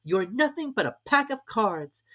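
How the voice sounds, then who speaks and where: A man's voice: high voice, very animated, measured pace, bright, forceful tone, pitched above the performer's own voice, exaggerated voice. A man voicing a bold young girl who speaks out defiantly in a storybook reading.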